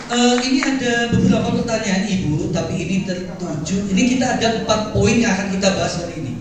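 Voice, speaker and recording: An upbeat man with a high voice moderates a stage talk show and keeps asking the crowd for applause. He sounds far from the mic in a big echoing hall, with audience noise around him. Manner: upbeat, often calls for applause.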